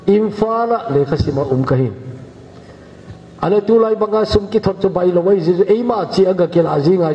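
A man's voice: high-pitched, mellow. Older male pastor preaching in an echoey hall. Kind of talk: sermon. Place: church hall. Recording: echoey church hall, dull lo-fi sound, faint hiss.

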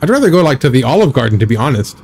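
A man in his thirties chatting casually while live-streaming a driving game, rambling with a slightly raspy, scratchy throat. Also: medium pitch, sing-song, very fast, a little mellow. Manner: rambling, chatty, reads and answers chat.

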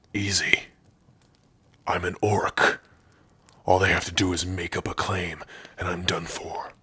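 A man voicing a big, gruff orc prisoner in an ASMR roleplay, brooding and sardonic, with a husky, rough voice. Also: low-pitched, bright, forceful tone, dry recording, exaggerated voice. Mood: guarded, weary. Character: big gruff male orc prisoner, guarded but lonely. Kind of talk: ASMR roleplay addressed to the listener.

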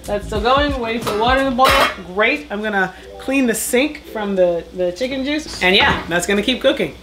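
An upbeat woman in her thirties talks through dinner prep in a small home kitchen. Her voice sits a little high and she laughs easily as she works. Background music runs under her, along with the clatter of pots and dishes. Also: moderate pace, fairly bright tone. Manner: chatty, upbeat, laughs often while working. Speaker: vlogger, mom cooking dinner. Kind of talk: casual cooking vlog chat.

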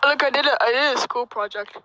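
A woman's voice: high voice, fast talker. A woman doing a silly, profane, theatrical amateur comic dub, improvised as she goes. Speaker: amateur voice-over performer. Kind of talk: crude comic dub of a puppet show, improvised. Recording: noisy home recording with clicks.

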